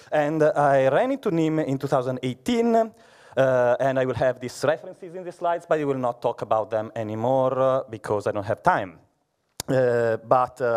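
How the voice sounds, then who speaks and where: Guy presenting at a conference, lots of uh's, loud voice, kind of halting. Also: medium pitch. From Italy. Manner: hesitant, many fillers, says he has a loud voice. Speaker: conference speaker. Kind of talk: lightning talk presentation. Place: conference hall.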